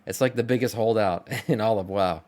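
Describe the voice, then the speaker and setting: Low-voiced casual streamer guy in his thirties. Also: fairly quick, a little mellow. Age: 30s. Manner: informal, off-the-cuff, muses aloud. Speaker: streamer reacting to a video. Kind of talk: casual live reaction commentary.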